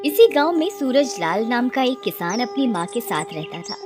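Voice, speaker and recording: A woman storyteller with a fairly high voice reads a scripted moral tale. Music plays clearly behind her narration. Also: big pitch swings, moderate pace.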